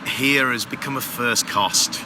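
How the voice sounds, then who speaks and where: A man's voice: medium pitch, measured pace. A man, a manager at a water heater company, explaining at length in a casual interview with lots of fillers. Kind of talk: casual interview on a trade show floor. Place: busy trade show floor. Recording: noisy expo floor background.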